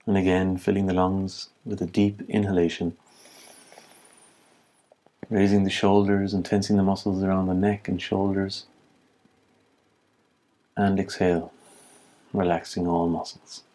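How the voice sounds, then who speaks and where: A man leading a guided meditation as an instructor, speaking in calm, measured phrases with long pauses. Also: low voice.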